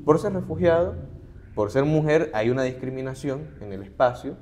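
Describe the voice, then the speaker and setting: A man's voice: medium pitch, lively intonation, normal speed, darkish tone, a little hiss or hum. A middle-aged man, a human rights advocate, earnestly explaining points as a guest in an interview. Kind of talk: conversational interview.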